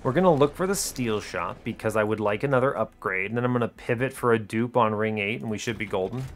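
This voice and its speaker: A man's voice: on the low side, fast. A man hosting a gaming video, thinking aloud in casual, chatty commentary with breezy, wry understatement. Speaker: host of an educational gaming video series.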